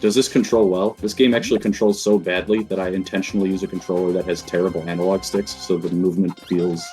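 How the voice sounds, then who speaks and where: A man's voice: low-pitched, little pitch movement, fast talker, mellow, faint music behind. A man giving casual live commentary as he speedruns a game. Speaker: speedrunner commentating own run.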